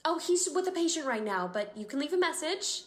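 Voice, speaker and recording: A woman playing a brisk, evasive receptionist at a sinister institute in a horror audio drama. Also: high-pitched, animated. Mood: polite, flustered.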